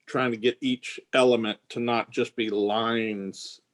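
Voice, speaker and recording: A man's voice: mid-range voice, steady tone, a little slow. A man talking casually as an illustrator on a panel.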